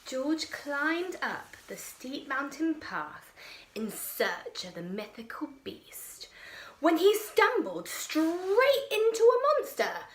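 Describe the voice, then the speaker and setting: Very slow, high-pitched woman reading a storybook. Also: poor audio. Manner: expressive, slow, deliberate delivery. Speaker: children's storyteller. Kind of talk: dramatic picture-book read-aloud.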